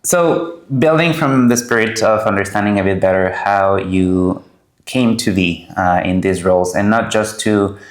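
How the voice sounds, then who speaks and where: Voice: deep voice, unhurried, reverberant. An interviewer asking long, hesitant questions, joking now and then with the guest.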